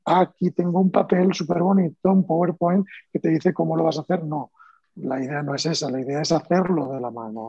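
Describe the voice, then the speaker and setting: Man, Spanish accent, panelist, slightly high dark husky voice, conversational with restarts, dull dry audio. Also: dry recording. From Spain. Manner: animated, conversational, frequent fillers and restarts. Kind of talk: panel discussion.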